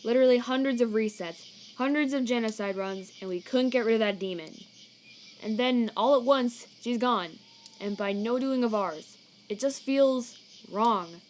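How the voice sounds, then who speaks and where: A woman voicing a weary, laid-back, protective male skeleton in a comic dub, casual and slangy, halting when troubled. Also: fairly high pitch, slight background noise, natural voice. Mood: uneasy, tender. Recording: cricket night ambience behind.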